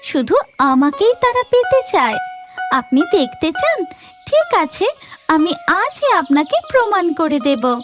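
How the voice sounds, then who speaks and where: Very high-voiced proud goddess. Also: female voice, very animated, slow pace, over music, heavily acted. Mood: proud, challenging. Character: proud young female goddess, challenging her divine husband.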